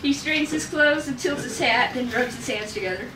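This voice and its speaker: Higher-voiced woman in a noisy, echoey room. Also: a little fast, distant mic. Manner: giggly, informal. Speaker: table read leader reading stage directions. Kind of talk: reading script directions, casual asides.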